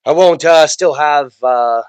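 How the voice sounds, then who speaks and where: A man with a raspy voice from a cold, chatting casually as co-host and teasing his friend dryly. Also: fairly high pitch, slow pace, no echo. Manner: dry teasing, laughs a lot. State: getting over a cold. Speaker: podcast co-host. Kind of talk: casual chat. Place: indoors at a friend's home.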